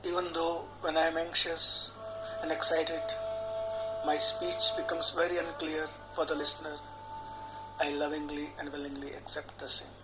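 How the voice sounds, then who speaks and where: Calm man guiding affirmations over music. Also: fairly high pitch, rough recording. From India. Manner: slow, measured, soothing, phrase by phrase. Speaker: healer guiding a tapping session. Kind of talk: guided healing session with repeated affirmations. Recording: clear background music under the voice.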